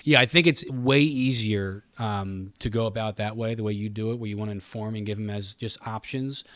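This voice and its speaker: A man's voice: on the low side, steady tone, brisk, a bit bright, no echo. A middle-aged man with an American accent, explaining things earnestly in a casual interview and often doubling back on his phrases. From Pennsylvania, United States. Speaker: radio station communications director, podcast guest.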